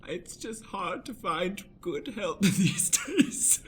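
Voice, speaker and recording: A man's voice: high-pitched, animated, slow pace, a little hiss or hum. A man playing a coy, haughty, scheming lady on a date in an improvised radio play. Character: Matilda, scheming seductive wealthy lady on a date.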